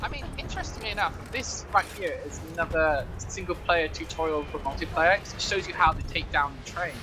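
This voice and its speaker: A man's voice: a little high, fairly quick, noisy background. A man talking casually and explaining things as a guest commentator over gameplay.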